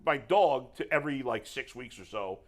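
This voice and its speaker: A middle-aged man with a deep voice and a New York accent, ranting in casual chat as a sports radio co-host. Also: moderate pace. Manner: animated, exasperated ranting.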